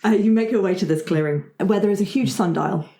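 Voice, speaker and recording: A woman's voice: medium pitch, quick pace, vocal fry, mellow, a little hiss or hum. A woman narrating an improvised tabletop game as game master. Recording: roomy echo.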